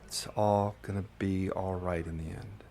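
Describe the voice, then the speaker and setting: An actor playing a weary, stubborn, reluctant hero in naturalistic audio drama dialogue, plain-spoken and doubtful. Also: male voice, low-pitched, little pitch movement, normal speed, warm tone, a little hiss or hum. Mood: reflective, doubtful.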